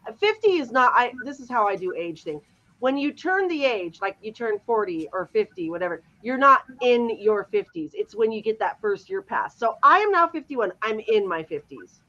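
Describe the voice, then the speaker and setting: A woman's voice: a little high, big pitch swings, normal speed, sharp tone, highs cut off. A woman in her fifties, co-hosting a podcast in a casual, enthusiastic chat over a video call. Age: 50s. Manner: enthusiastic, chatty. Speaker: podcast co-host. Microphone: remote video call.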